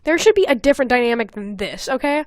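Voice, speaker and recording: A young woman hosting a podcast in casual comedic chat, reading aloud and commenting with animated, sweary exasperation. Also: on the high side, fast talker. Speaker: podcast host.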